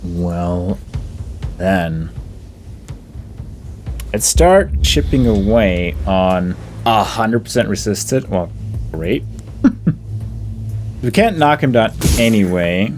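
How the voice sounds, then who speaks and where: A man's voice: low voice, animated, very slow, pauses often, a little mellow. A man giving casual game commentary, muttering his tactics with dry exasperation. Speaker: gaming video host. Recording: game music behind the voice.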